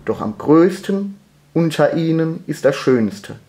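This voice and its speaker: A man reciting poetry solemnly, in measured, deliberate phrases with pauses between them. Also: a little high, animated, darkish tone.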